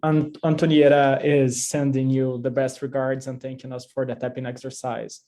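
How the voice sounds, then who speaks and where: A man's voice: mid-range voice, level tone, moderate pace, warm tone. A man, a Portuguese native speaker, co-hosting and asking hesitant questions with fillers. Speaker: podcast co-host asking questions.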